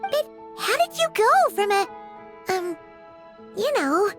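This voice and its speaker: A woman voicing a tiny, sweet, earnest childlike creature with a small squeaky voice in a video game, emotional and admiring. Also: sing-song, slow pace, faint music behind, heavily acted. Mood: admiring, hesitant. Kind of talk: cute video game character acting.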